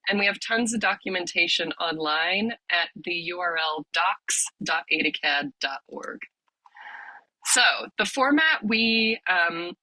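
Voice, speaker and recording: A woman leading an online workshop on a video call, explaining the steps in a friendly way with many ums, a little hoarse from a cold. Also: medium pitch, bright, forceful tone, dry recording, poor audio. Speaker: workshop leader, lab director.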